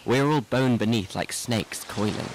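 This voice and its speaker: A man's voice: medium pitch, measured pace, slight background noise, close to an everyday voice, low-quality recording. An actor as a tipsy, intense middle-aged man. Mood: brooding. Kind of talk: literary radio drama acting.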